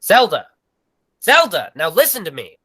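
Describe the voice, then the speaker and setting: A man's voice: high-pitched, huge pitch swings, moderate pace, bright, forceful tone, slightly rough, full character voice. A man playing an exasperated circus manager in a 1940s-style radio play.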